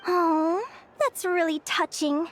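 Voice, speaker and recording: A woman voicing an earnest, chatty young fairy changeling in a video game, telling things in an expressive, articulate way. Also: extremely high pitch, takes it slowly, background noise. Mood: playful. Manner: expressive, articulate, conversational storytelling.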